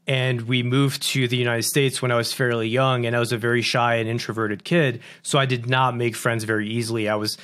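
Male guest, 30s, from France; lively, quick, acts out quoted lines, broadcast-mic interview. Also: medium pitch. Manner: animated, quick bursts, acts out quoted dialogue. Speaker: guest, company founder. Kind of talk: casual interview storytelling. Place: home studio with posters and lamp. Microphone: large broadcast mic on boom arm, over-ear headphones.

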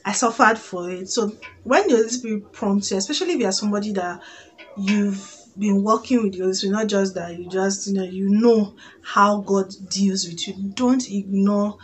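A Nigerian woman in her thirties chatting casually to camera in an expressive, exclamatory storytelling way, recorded on a phone in a room at home. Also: mid-range voice, moderate pace, slight background noise. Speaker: vlogger. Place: small indoor room at home.

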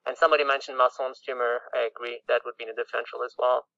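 A man's voice: mid-range voice, flat delivery, very fast, pushed and bright, dry recording, highs cut off. A man, a resident, answering his teacher hesitantly and thinking aloud with fillers.

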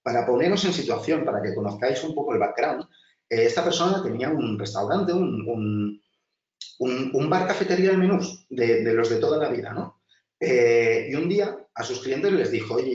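A man teaches a design class over slides, chatty and full of restarts. His voice sits at an everyday middle pitch. It comes a distance into a roomy space, with dull, muffled audio. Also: lively intonation, normal speed, darkish tone. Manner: chatty, many restarts and filler, addresses class directly. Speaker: design instructor. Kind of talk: webinar lecture over slides.

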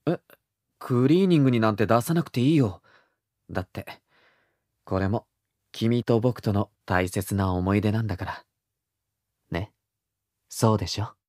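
A man playing a gentle, teasing young boyfriend in an intimate ASMR roleplay, with a soft, breathy, murmuring delivery. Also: on the low side, no echo, studio quality, lightly acted. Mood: tender, reassuring. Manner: slow, tender, murmuring, slipping into whispers. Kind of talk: intimate ASMR boyfriend roleplay in Japanese audio drama style.

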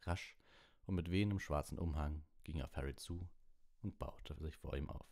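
A man's voice: rumbling low, moderate pace, darkish tone. A man narrating an audiobook in a storybook reading style.

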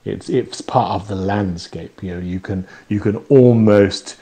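A man with an English accent speaks as an author being interviewed. His voice sits fairly low. He explains things conversationally, pausing to find words and drifting into storytelling. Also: mellow. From East Anglia, England; lives in Canada.

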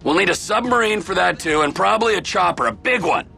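Crude unhinged middle aged game criminal, forceful gravelly voice, swings all over, bossy and mocking. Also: male voice, high voice, expressive, fast, soft background music, exaggerated voice. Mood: businesslike scheming. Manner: erratic, swinging from mock-gentle to barking. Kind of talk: video game cutscene acting.

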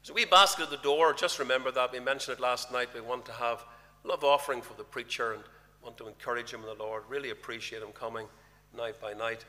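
Older man, bright voice, church reverb. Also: medium pitch, steady tone, fast. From Northern Ireland. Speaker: minister leading a church service. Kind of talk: prayer and service announcements. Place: large church hall with a congregation. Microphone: lectern mic at a pulpit.

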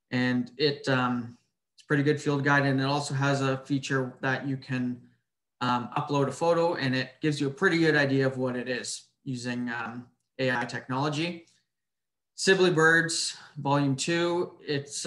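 A man's voice: medium pitch, fairly bright tone. Man presenting in an echoey room. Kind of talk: informal slide presentation. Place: indoors on a video call. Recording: roomy echo on webinar audio.

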